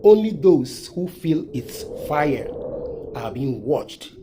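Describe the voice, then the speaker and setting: A man voicing a calm, measured leopard spirit who speaks in portentous pronouncements in a folktale. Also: on the high side, a little hiss or hum. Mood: solemn, calm. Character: calm, solemn leopard that becomes a towering forest spirit.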